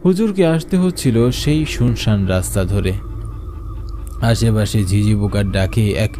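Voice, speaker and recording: A man's voice: on the low side, mellow, slight background noise. A man narrating a scripted horror story for a cartoon.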